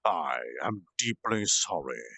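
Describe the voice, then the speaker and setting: A man voicing a gentle, earnest slime monster leader who was once human, speaking in a solemn, halting confessional way for a storytime reading. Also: low-pitched, big pitch swings, vocal fry, slightly rough, no echo, full character voice. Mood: solemn, remorseful.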